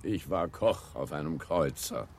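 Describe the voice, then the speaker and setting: A man's voice: very deep voice, expressive, a little slow, creaky, darkish tone, slight background noise, heavily acted. An actor playing a brash, boastful seafarer in a theatrical radio drama, insistent and mocking, repeating his phrases. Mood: mocking, know-it-all. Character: brash, bossy, boastful old-school seafarer courting a woman. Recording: faint music behind.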